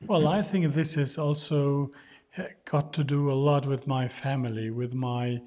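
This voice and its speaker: A man's voice: medium pitch, fairly even intonation, unhurried, dark tone. A man from North Macedonia, answering interview questions earnestly and searching for English words. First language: Albanian. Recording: dull lo-fi sound.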